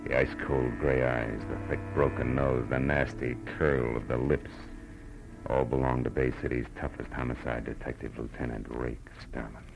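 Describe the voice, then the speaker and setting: A man's voice: a bass voice, flat delivery, normal speed, creaky, poor audio. An American actor playing a wry, hard-boiled private detective in clipped, tough 1940s radio style, narrating and talking through his case. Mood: wry, tense narration. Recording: old radio recording, music behind.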